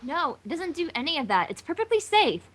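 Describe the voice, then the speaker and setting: A woman's voice: sits high, big pitch swings, a little fast, a bit bright, voice pushed up. A woman voicing a studious young female unicorn pony, explaining things in an earnest, reassuring manner.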